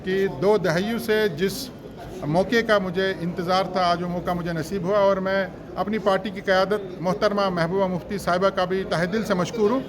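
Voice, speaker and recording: High-pitched man giving an emphatic political speech. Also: fairly even intonation, normal speed, crowd noise. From Jammu and Kashmir, India. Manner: earnest, emphatic, rhetorical repetition. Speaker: election candidate. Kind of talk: political campaign speech in formal Urdu-heavy register.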